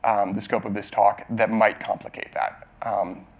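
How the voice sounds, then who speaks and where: A man in his thirties answering questions as the speaker at a technical talk, explaining in a halting way with hedges and self-corrections. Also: fairly low pitch, flat delivery, gravelly. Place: auditorium stage at a podium.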